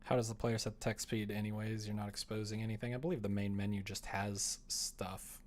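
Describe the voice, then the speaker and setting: A man's voice: lowish voice, flat delivery, brisk, a little hiss or hum. A young man chatting casually on a livestream, thinking aloud as he works, informal and self-deprecating. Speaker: livestreamer coding a game. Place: indoors, small room at a computer.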